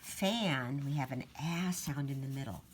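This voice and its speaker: Slow, low-pitched woman teaching young children. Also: slight background noise. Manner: sounds out letters and words deliberately. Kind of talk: storybook read-aloud and phonics lesson.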